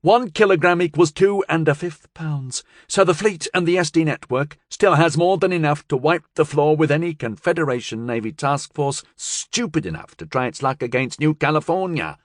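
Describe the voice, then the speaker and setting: A man's voice: on the high side, moderate pace, hardly any pauses, no room sound, clean studio sound, voice pushed up, lightly acted. A man voicing a cool, confident gangster's woman in an audiobook, speaking in a firm, assured, coaxing way. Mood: persuasive, assured.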